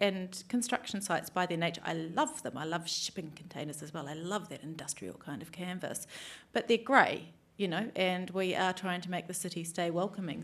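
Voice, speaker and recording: Woman chairing a stage panel. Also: fairly low pitch. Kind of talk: panel discussion. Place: stage in a theatre. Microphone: stand microphone.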